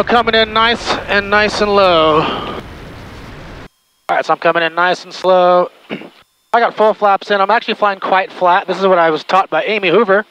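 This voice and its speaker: A young man pilot talking through his approach and landing into a headset microphone in a small plane cockpit, excited and step by step. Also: high voice, low-quality recording. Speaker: pilot vlogger. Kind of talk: in-flight commentary. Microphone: aviation headset. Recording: engine noise under headset mic.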